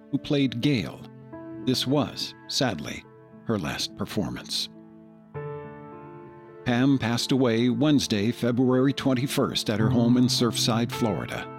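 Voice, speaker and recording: A male podcast host with a mid-pitched, expressive voice reads scripted tribute narration. Faint music plays behind him.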